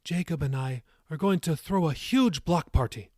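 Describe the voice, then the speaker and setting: A man voicing a warm, playful older grandmother with an Irish accent in an audiobook story. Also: a little high, moderate pace, dry recording, studio quality, pitched above the performer's own voice, natural voice.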